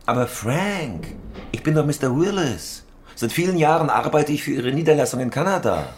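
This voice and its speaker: A man's voice: on the high side, expressive, normal speed, slight background noise, close to an everyday voice. A man playing a cool, hard-nosed professional robber in a German radio crime drama, terse and businesslike. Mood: calm, sly. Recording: loud music bed.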